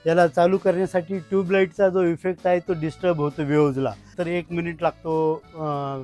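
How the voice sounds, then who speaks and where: A man's voice: fairly high pitch, level tone, moderate pace, a little mellow, faint music behind. A man talks informally as a collector, explaining his items in short phrases. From India. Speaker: radio collector being interviewed.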